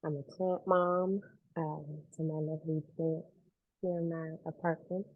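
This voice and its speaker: A young woman from New York talks slowly in a slightly low voice as a podcast guest. She chats casually over a dull, lo-fi call. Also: little pitch movement. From Brooklyn, New York, USA. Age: young adult. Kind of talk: casual chat. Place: remote call from an apartment. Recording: dull, lo-fi video-call audio.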